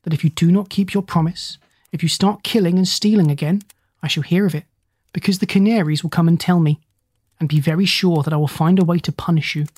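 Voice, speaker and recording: Woman reading a firm, principled gentleman doctor. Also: lowish voice, fairly even intonation, breathy edge, dry recording. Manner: measured, firm, polite commands. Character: kindly but firm male animal doctor, morally stern. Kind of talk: children's storybook character voice.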